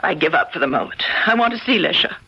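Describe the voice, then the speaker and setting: An actress playing a skeptical, outspoken psychiatrist in a 1970s radio drama, speaking in an educated, challenging way. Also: female voice, on the low side, animated, quick pace, husky, full character voice. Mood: skeptical, exasperated. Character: skeptical, outspoken woman psychiatrist, concerned for her sister. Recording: dull lo-fi old radio recording.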